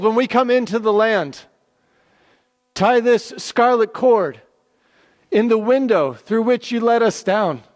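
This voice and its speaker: An urgent, insistent spy played by a man declaims his warning in a reverberant hall. His voice is raised high and loud, pressing every phrase in a theatrical scripture style. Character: urgent, insistent pair of male spies swearing terms. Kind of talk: dramatic scripture reading. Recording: echoey hall with long reverb.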